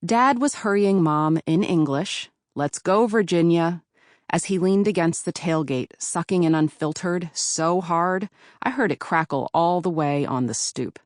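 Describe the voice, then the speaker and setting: A woman from Philadelphia narrates her memoir in a calm, measured voice that sits low in pitch. The sound is dry and close, with no room around it. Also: no echo. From Philadelphia, USA.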